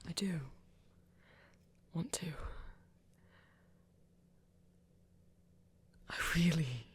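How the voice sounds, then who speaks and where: A woman playing a flirty, tender vampire in an intimate ASMR romance, speaking gently and haltingly in a breathy half-whisper. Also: lowish voice, slight background noise. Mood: nervous, adoring. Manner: halting, gentle, careful phrasing. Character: flirty, tender vampire shyly confessing love to a hunter.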